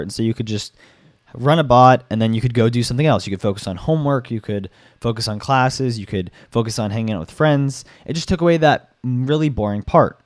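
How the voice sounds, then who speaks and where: A young man, sick and stuffed up, talks through a gaming topic in a loose, rambling way, full of fillers and false starts. His voice sits at an ordinary middle pitch. The recording is close and dry, with no room echo. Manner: rambling, many fillers and restarts. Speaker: gaming commentator. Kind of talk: casual commentary with article reading.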